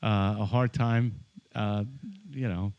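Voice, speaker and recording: A man from Iran, a guest on a podcast, talking in a friendly way with many pauses and fillers. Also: on the low side, fairly even intonation, clear, resonant tone, highs cut off. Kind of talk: casual interview conversation. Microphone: studio microphone with headphones.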